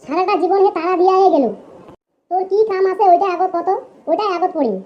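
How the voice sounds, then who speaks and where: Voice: very high-pitched, fast talker, dull audio. A performer voicing a lazy, grumbling young village son in a comic cartoon, with a rural northern Bengali accent and a whining, coarse way of talking. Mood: whining, irritated.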